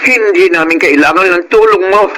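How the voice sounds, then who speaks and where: A woman's voice: lowish voice, moderate pace, a bit bright, slight background noise, lightly acted. A woman playing a proud, wounded older mother in a Filipino radio drama, tearful and reproachful. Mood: stern, hurt. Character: proud, wounded, moralistic mother of grown children.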